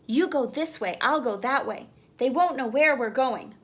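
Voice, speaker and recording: A woman voicing an eager, bold young boy in a storybook, exclaiming with urgency and excitement. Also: sits high, normal speed. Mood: urgent. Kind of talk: storybook read-aloud character voice.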